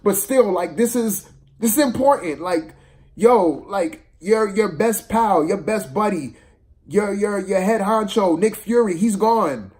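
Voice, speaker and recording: A man's voice: high voice, unhurried, a little mellow. A man giving a casual, opinionated movie review, exasperated and slangy, repeating phrases for emphasis.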